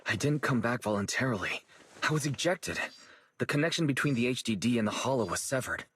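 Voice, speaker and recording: An actor playing a calm, thoughtful young male operator in an anime-style game, measured and earnest, with a soft, slightly husky voice. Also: mid-range voice. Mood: serious, concerned.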